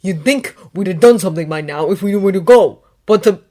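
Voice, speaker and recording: A woman's voice: medium pitch, expressive, a little fast, warm tone, close to an everyday voice. A woman doing a weary, guarded teenage wizard. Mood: tense.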